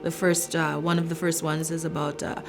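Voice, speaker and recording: Middle-aged Trinidad-born woman, low voice, unscripted reflective interview with faint music underneath. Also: level tone, normal speed. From Trinidad and Tobago. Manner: thoughtful, many fillers and restarts. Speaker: filmmaker, arts director.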